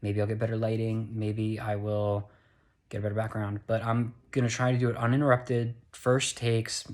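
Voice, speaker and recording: A man's voice: lowish voice, monotone, moderate pace, darkish tone. A tired young man with an American accent, talking casually into a handheld phone, earnest and rambling, correcting himself as he goes. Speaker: vlogger. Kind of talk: casual vlog monologue.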